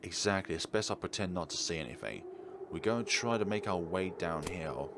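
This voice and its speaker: Low-voiced man reading a game aloud. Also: animated, a little fast, background noise. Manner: reads story text aloud, acting out dialogue. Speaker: gaming video host. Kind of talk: playthrough reading and commentary. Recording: loud music behind the voice. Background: game music.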